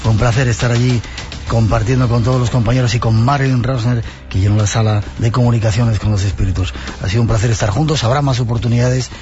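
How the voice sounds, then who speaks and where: A man hosting a late-night radio show from a studio, with brisk, welcoming broadcaster delivery. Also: mid-range voice, steady tone. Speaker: radio host. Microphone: studio microphone. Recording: slightly dull broadcast audio. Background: music bed.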